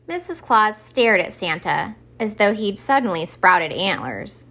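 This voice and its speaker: A woman reading aloud scripted narration from a children's storybook in an expressive story time style. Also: mid-range voice, unhurried.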